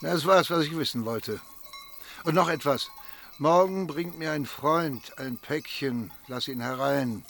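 An actor playing an assured, quietly authoritative mafia boss in hiding in a radio crime drama. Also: male voice, fairly high pitch, measured pace. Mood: stern, secretive. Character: commanding mafia boss in hiding, seeking shelter.

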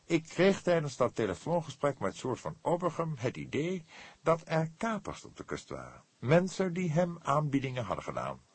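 A man's voice: a little high, wildly swooping pitch, normal speed, slightly rough, dry recording, pitched above the performer's own voice, heavily acted. A man voicing a pompous male book publisher in an audiobook, stately and bombastic with swelling emphasis. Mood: indignant.

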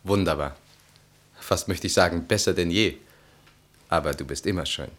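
An actor playing a troubled, earnest, hesitant husband in a radio play, speaking formally in halting phrases. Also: male voice, low voice, fairly bright tone. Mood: gentle. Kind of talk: German radio play acting.

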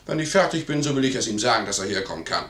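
An actor playing a cheeky, insolent manservant in a 1950s German radio play, blunt and gruff in manner. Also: male voice, medium pitch, expressive, racing, fairly bright tone, lightly acted. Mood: insolent, offhand. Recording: distant, roomy, noisy old radio recording.

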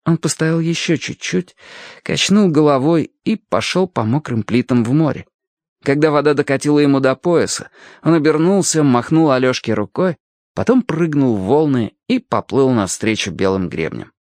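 Male narrator for children's fiction: animated pitch movement, close and dry studio sound. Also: normal speed, dry recording. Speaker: audiobook narrator. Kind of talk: expressive storybook narration.

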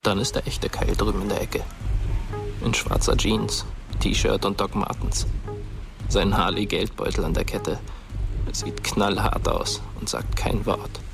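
An actor playing a sombre, watchful storyteller, narrating a dark radio play in a measured, hushed way. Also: male voice, fairly low pitch, vocal fry, over music. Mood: ominous.

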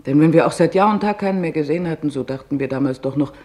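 A man's voice: on the high side, rapid-fire, darkish tone, pitched above the performer's own voice, natural voice. A man reading the part of an anguished woman survivor who earnestly and vividly recounts her memories in an audiobook. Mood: urgent remembering. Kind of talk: audiobook testimony narration.

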